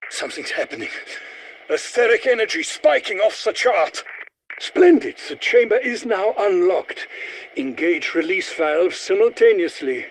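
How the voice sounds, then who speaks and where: An actor playing an aging, polite but indignant German scientist in a video game, speaking in a formal, pedantic, theatrical way with a German accent. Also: male voice, sits high, sing-song, measured pace, slight background noise, heavily acted, rough recording. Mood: urgent, keen. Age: older. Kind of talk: video game character voice lines.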